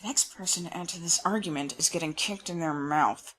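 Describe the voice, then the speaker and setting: A woman acting a low-voiced, firm female agent. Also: a little fast, a bit bright, natural voice. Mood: assertive. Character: adult female federal agent, commanding, firm team leader. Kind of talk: audio drama acting. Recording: music bed under the voice.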